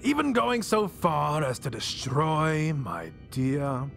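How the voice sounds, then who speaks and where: An actor playing a flamboyant, ancient mastermind villain in a video game, pompous, grandiose and mock-polite with theatrical delivery. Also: male voice, on the high side, sing-song, slow, gravelly, fairly bright tone, faint music behind, heavily acted. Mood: smug delight.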